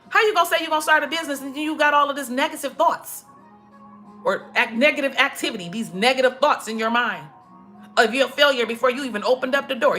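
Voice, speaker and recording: Preachy woman with a bright voice. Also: a little high. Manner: preachy, emphatic, repeats herself. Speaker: motivational speaker. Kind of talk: casual motivational talk to followers. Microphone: phone.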